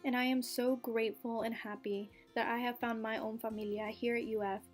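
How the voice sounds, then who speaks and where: A woman's voice: on the high side, level tone, fairly quick, soft background music. A young woman from Colombia, a student, reading a scripted personal narration in an earnest, reflective way. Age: young adult.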